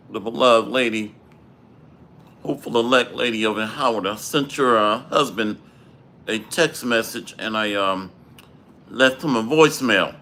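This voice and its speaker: A man leads a livestreamed Bible lesson in a slow, deliberate cadence. His throat is dry and he clears it often. His voice stays bright and forceful. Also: fairly low pitch, slight background noise. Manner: slow, measured preaching with Hebrew phrases. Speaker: Bible teacher. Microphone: livestream microphone.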